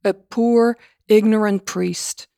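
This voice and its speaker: A pompous priest from a literary storybook, acted out by a woman reader, feigning humility in theatrical, oratorical phrases. The delivery is slow and creaky, with the pitch lifted a little, in a dry close recording. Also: steady tone, warm tone, no echo. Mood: feigned self-pity. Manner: theatrical mock-humble oratory.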